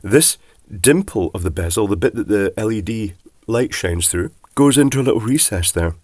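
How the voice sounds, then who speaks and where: A man with a cold, sounding bunged up and croaky, casually explaining electronics as he takes a device apart. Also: fairly low pitch, animated, normal speed, darkish tone, dry recording, studio quality. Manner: chatty, rambling asides. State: congested from a cold, husky. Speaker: electronics teardown presenter.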